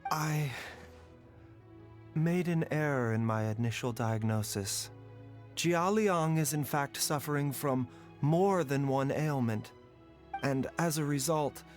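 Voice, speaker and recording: A composed, gentle physician, played by a man in a fantasy game, explaining things in formal, measured phrasing at a middle pitch. Faint music runs behind him. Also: lightly acted. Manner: soft, formal and measured, with weary sighs. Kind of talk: game dialogue. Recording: soft music underneath.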